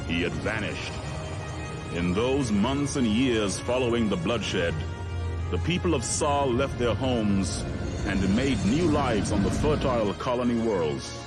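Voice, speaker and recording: An actor playing a weary, solemn veteran of a space war, narrating game cutscenes in a grave, measured, reflective way. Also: male voice, medium pitch, lightly acted. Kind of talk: dramatic scripted narration. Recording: music behind the voice. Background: prominent music.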